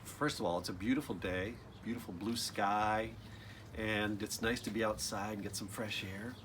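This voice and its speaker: A man's voice: fairly low pitch, fairly even intonation, moderate pace, noisy background. A man reading a children's picture book aloud expressively, with casual asides addressed straight to young listeners. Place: outdoors.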